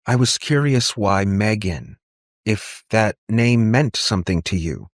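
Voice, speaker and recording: A man's voice: on the low side, animated, measured pace, dry recording, studio quality. A man voicing a conciliatory aunt in an audiobook, tentative and anxious to connect. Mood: pleading. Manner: tentative, placating. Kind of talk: audiobook character dialogue.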